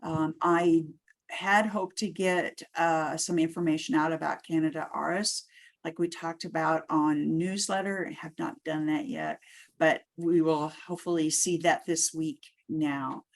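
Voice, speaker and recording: A woman hosting a conference call in an informal, halting way. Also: low-pitched, fairly even intonation, creaky. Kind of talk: informal meeting moderation.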